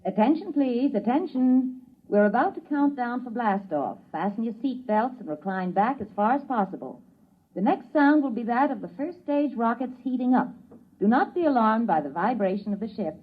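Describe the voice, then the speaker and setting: An actress playing a young, poised spaceship hostess, giving formal, reassuring announcements in 1950s radio style. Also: female voice, a little high, expressive, moderate pace. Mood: official, composed. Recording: tinny, noisy old radio recording.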